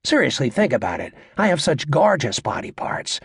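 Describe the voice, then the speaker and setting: Guy doing a crude cocky standup comic for an audiobook, super animated, raspy n gravelly, sarcastic, riling up the room. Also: fairly high pitch, normal speed, no room sound, studio quality, heavily acted. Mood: mock-aggrieved. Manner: cocky, sarcastic, showy, needling the crowd. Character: brash, crude male stand-up comedian working a club crowd. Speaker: stand-up comedian. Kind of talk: stand-up comedy patter in an audiobook performance.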